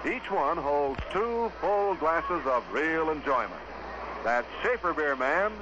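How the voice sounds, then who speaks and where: A male announcer delivers a folksy 1950s radio sales pitch in a slightly high voice. The vintage recording is muffled, with clear noise throughout. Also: animated, slow. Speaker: radio announcer reading sponsor spots. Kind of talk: 1950s radio commercial read. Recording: dull, noisy vintage radio broadcast.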